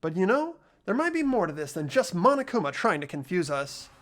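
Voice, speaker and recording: The host of a friends' game playthrough, voicing the protagonist with steady deductive reading and laughing between lines. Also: mid-range voice, a little fast, faint music behind. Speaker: host and lead voice actor of a playthrough. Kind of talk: narrating and voicing a game protagonist, casual chat.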